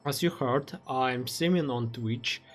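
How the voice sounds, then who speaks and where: A man's voice: medium pitch, faint music behind. A young man in his twenties, a native Russian speaker with a Russian accent, reading a prepared reflective monologue as a streamer. He speaks carefully and halts often with "uh" fillers. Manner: careful, halting delivery with frequent uh fillers. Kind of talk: reflective read-aloud monologue.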